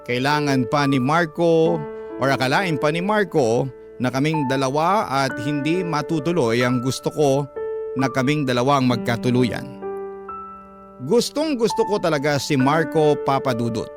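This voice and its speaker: A man's voice: medium pitch, normal speed. A man narrating a scripted first-person radio story in a schoolgirl's words, confiding and dramatic. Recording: music behind the narration.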